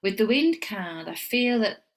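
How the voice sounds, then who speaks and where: A woman's voice: mid-range voice, animated, measured pace. A middle-aged woman with a Yorkshire accent, giving a casual tarot reading at home and wandering into chatty asides. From England. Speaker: tarot reader.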